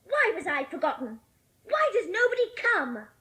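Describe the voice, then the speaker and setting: A woman's voice: extremely high pitch, moderate pace, full character voice. A female performer playing a sullen, fretful orphan little girl in a dramatised audiobook, with a curt, contrary way of speaking. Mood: puzzled, indignant. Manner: curt, flat-worded, contrary.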